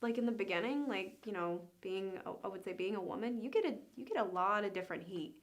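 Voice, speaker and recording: A woman's voice: medium pitch, fast. A woman who hunts fossils, speaking candidly and informally in a documentary interview. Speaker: fossil hunter.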